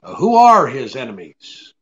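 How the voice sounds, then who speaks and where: A man's voice: mid-range voice, wildly swooping pitch, unhurried, dull audio. A man playing a wry, tough, unflappable private detective in a 1940s-style radio drama, with an offhand way of questioning. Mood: brusque, probing.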